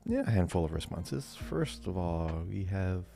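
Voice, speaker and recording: A man's voice: low voice, very animated, normal speed, creaky, dark tone. A man co-hosting a podcast, chatting casually and reading out listener responses. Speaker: podcast co-host.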